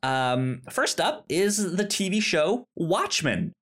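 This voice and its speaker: Podcast co-host, relaxed and halting, lots of restarts, medium pitch. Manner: halting, many fillers and restarts. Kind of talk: casual chat.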